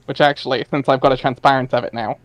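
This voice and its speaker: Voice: medium pitch, fairly even intonation, fast talker, dry recording, highs cut off. An informal, chatty speaker talking casually and narrating for an amateur dramatic reading.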